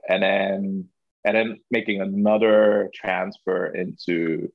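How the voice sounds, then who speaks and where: A young man from Hong Kong, a podcast guest, talking in a relaxed, thoughtful way with lots of fillers. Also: deep voice, slow, creaky, dry recording, muffled recording. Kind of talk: casual interview.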